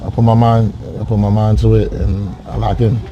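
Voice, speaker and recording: A man in his forties from Queens talks over dinner in a low, flat, level voice, pausing often and reaching for fillers as he thinks. Restaurant chatter and noise fill the background clearly. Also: highs cut off. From Queens, New York. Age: 40s. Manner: hesitant, many filler pauses. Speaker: dater on a blind date. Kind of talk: casual dinner conversation.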